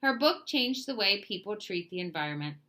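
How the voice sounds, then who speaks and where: A woman reading a children's nonfiction book aloud, phrasing it carefully in short, clear pieces. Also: medium pitch, animated, fairly quick, bright, forceful tone. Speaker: read-aloud narrator.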